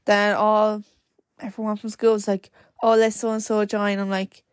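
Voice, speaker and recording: A young woman hosting her own podcast in casual, rambling chat, her voice a little croaky and cracking. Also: medium pitch, flat delivery, unhurried, no room sound, clean studio sound. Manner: chatty and rambling, full of "like" fillers. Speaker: podcast host.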